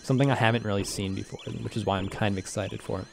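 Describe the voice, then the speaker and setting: A young man, slightly hoarse, rambling through casual game commentary with self-deprecating asides and frequent laughs. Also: lowish voice, steady tone, rapid-fire, music in the background. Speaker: gaming commentator.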